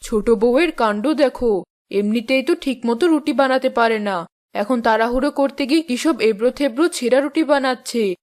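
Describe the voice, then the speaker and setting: A woman's voice: on the high side, normal speed, darkish tone, close to an everyday voice. A woman playing a boastful, mocking eldest daughter-in-law in a fairy-tale cartoon. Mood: smug. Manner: smug, mocking.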